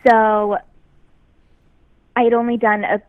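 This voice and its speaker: A young adult actress with a high voice, chatting easily as an interview guest and laughing often. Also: female voice, flat delivery, slow, no echo, narrow, tinny audio. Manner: easygoing, laughs often, talks about herself in a light way. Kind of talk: casual interview chat.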